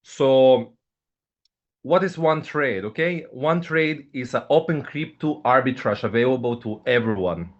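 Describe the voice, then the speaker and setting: A man's voice: mid-range voice, expressive, unhurried. Hesitant man on a video call. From Bulgaria. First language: Russian. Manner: hesitant, frequent fillers, repeats "okay, guys". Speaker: host, company ambassador. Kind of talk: live online business presentation. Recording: dull lo-fi video call audio.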